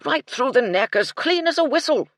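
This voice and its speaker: A narrator voicing a hearty, addled old army colonel who talks loudly in bursts of exclamation. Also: male voice, very high-pitched, expressive, moderate pace, no echo, clean studio sound, pitched above the performer's own voice. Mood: relishing. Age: older.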